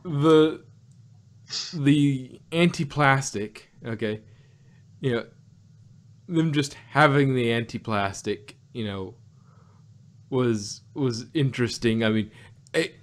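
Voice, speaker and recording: A man's voice: medium pitch, slow, long pauses. Laughing, rambling male podcast co-host. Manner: rambling, laughs often, restarts and hedges mid-thought. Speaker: podcast co-host reviewing an audio drama. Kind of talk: casual review chat.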